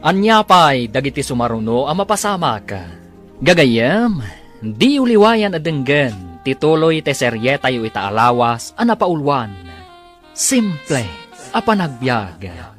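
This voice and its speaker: A man's voice: mid-range voice, very animated, a little slow, a bit bright, soft background music. A man giving dramatic scripted narration for a radio drama serial.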